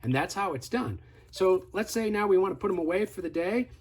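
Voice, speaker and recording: Slightly husky man demonstrating a product. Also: high-pitched, lively intonation, fairly quick. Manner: step-by-step, instructive, conversational. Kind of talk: casual product tutorial, hands-on demonstration.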